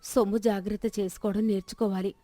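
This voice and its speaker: A woman's voice: medium pitch, little pitch movement, quick pace, mellow, no echo, lightly acted. Woman playing a bossy, miserly female houseguest. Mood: nagging. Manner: nagging, advising.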